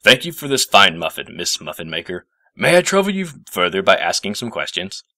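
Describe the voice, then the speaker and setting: A man acts out a grave, self-important old professor from a storybook. The voice is deep and forceful, with gruff, formal phrasing. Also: very animated, brisk, no echo, clean studio sound, exaggerated voice. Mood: courteous. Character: gruff, pompous, grave older male professor.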